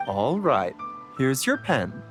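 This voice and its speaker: A man playing a patient, reassuring doctor in a video game, soft-spoken, soothing and polite. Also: medium pitch, wildly swooping pitch, takes it slowly, soft background music. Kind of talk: English video game voice acting.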